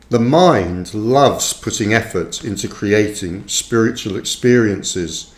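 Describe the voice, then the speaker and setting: A man reading his own book aloud as scripted narration, in measured, deliberate phrases with frequent pauses, in a roomy recording. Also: mid-range voice, darkish tone. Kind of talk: audiobook-style scripted narration. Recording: roomy, echoey sound.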